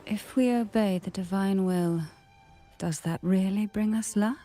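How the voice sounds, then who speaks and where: An actress playing a composed, enigmatic woman who speaks in a measured, poetic way in a video game. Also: mid-range voice, warm tone, natural voice. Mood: doubtful. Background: game music.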